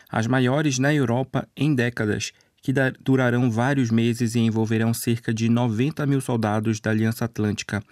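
A man's voice: medium pitch, darkish tone, dry recording. A man reading a scripted radio news bulletin as a news presenter. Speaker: news reader.

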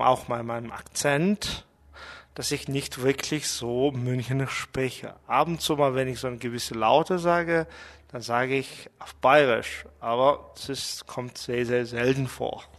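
A middle-aged man from Mongolia, speaking with a Mongolian accent and talking thoughtfully about his life, pausing as he searches for words. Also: mid-range voice, fairly even intonation, a bit bright, in a large hall. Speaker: interviewee. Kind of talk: portrait interview, personal reflections.

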